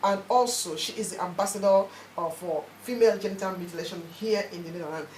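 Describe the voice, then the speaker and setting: A woman hosts a radio discussion, brisk and probing, stacking question upon question to draw out her guests. Her voice sits in a mid range and carries a roomy studio echo. Faint hiss lies beneath it. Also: medium pitch, a little mellow. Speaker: radio show host. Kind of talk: hosting a radio panel.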